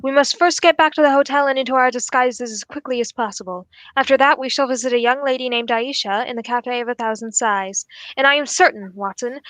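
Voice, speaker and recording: A young woman playing a keen, courteous detective in a radio mystery, phrasing everything formally and deliberately. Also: high-pitched, fairly bright tone, dry recording, rough recording. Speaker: actor in a student radio drama. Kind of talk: old-time radio mystery acting.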